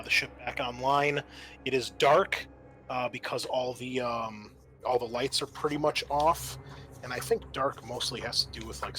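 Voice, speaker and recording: Bright-voiced man running a tabletop game. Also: medium pitch. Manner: hesitant, thinks aloud while recalling rules. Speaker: game master. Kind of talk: explaining a tabletop game scenario.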